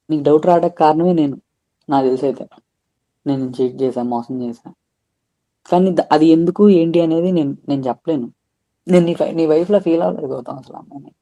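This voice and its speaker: Guy on a recorded phone call, very dark mellow voice, slightly high, rambling and confessional, kinda crude. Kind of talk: informal private conversation.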